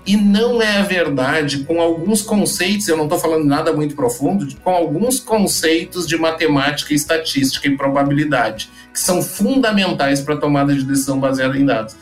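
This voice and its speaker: A man's voice: fairly high pitch, a little fast, hardly any pauses, soft background music. A man, a podcast guest who explains his data business at length with frequent restarts and fillers. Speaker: guest, data company founder. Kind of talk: podcast interview answers.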